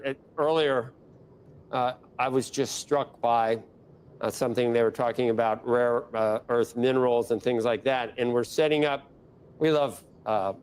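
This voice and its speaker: A man anchoring a business news interview, halting with fillers and exasperated asides about the government. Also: mid-range voice, a little mellow, slight background noise.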